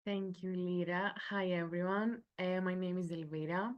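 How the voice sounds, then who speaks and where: Woman in her twenties, deliberate. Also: on the low side, fairly even intonation, lo-fi sound. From Greece. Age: 20s. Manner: careful, deliberate, with slowed phrases. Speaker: election candidate.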